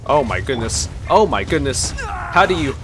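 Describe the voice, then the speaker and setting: Male streamer reacting live, animated mid-pitch voice, noisy game audio behind. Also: normal speed, vocal fry. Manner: excitable, exclaiming. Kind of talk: let's play reaction commentary.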